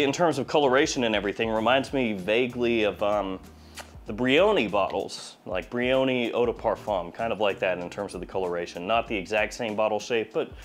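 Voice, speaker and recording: A man in his forties with a slight head cold, giving a casual, jokey product review as a video host. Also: medium pitch. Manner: playful, jokey, wry asides. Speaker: fragrance review channel host. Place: small indoor studio.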